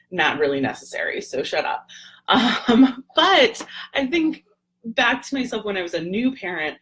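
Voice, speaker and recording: A woman, hoarse and gravelly, chatting casually on a live video with lots of ums and throat clearing. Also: low voice. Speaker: doula and lactation educator, livestream host.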